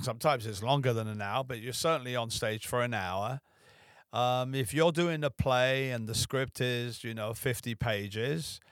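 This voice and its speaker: A man's voice: mid-range voice, a bit bright, no room sound, studio quality. A man hosting a podcast, asking long, winding questions in an easygoing, conversational way. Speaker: podcast host. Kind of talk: casual interview conversation.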